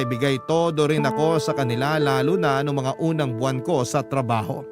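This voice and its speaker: A Filipino man with a fairly high voice narrates a scripted radio story in the first person. Loud music plays behind his storytelling. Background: prominent musical score.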